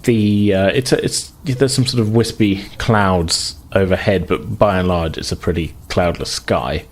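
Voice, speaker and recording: A deep-voiced man acts as game master for a tabletop role-playing session. He builds his descriptions on the fly, often doubling back to rephrase them in a halting, conversational way.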